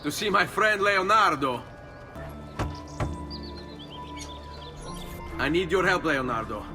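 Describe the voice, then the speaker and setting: An actor playing a determined, self-assured young assassin in a video game, earnest, with an Italian accent. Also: male voice, high voice, expressive, normal speed, music in the background. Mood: resolute. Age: young adult. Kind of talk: dramatic video game voice acting.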